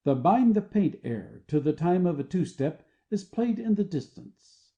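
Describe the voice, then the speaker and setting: Very dark-toned, expressive male narrator. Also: sits high, unhurried, creaky, muffled recording. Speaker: narrator reading stage directions. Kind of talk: scripted narration.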